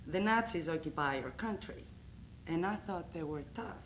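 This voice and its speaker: A woman's voice: low-pitched, expressive, slight background noise. A woman from Greece with a Greek accent, telling funny stories about her husband in a roast speech. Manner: slow, deliberate storytelling with comic timing. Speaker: coach's wife giving a roast speech. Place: banquet hall. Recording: echoey banquet hall.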